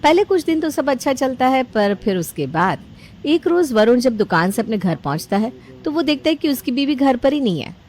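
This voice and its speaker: A woman's voice: sits high, sing-song, normal speed, darkish tone. A woman storyteller narrating a scripted domestic drama in a dramatic storytelling style.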